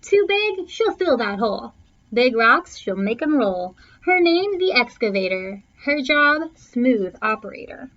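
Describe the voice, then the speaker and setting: High-pitched, animated woman reading a storybook. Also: a little slow. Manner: theatrical phrasing, stretches and punches key words. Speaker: teacher reading a picture book aloud. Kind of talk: expressive children's storybook read-aloud, rhyming verse. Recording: dull, lo-fi sound.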